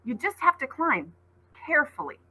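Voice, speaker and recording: A woman's voice: high voice, big pitch swings, moderate pace, sharp tone, voice pushed up, full character voice, low-quality recording. A woman voicing a nervous, clumsy girl who is anxious and tart.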